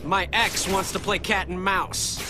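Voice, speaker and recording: An actor playing a wisecracking young superhero in a video game, sarcastic and expressive but earnest. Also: male voice, sits high, a little slow. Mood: quippy, hurried. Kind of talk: video game hero acting. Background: loud game music.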